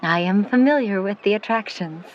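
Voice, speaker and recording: A woman playing a skeptical, worried middle-aged mother in a 1950s period audio drama, speaking in a proper, measured way. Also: mid-range voice, expressive, close to an everyday voice. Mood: skeptical, stern. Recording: dull, noisy recording with ambience.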